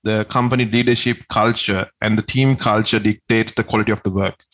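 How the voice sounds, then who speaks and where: A man's voice: on the low side, fairly even intonation, brisk, a bit bright. A man from Sri Lanka, a tax agent answering interview questions in an earnest, step-by-step way.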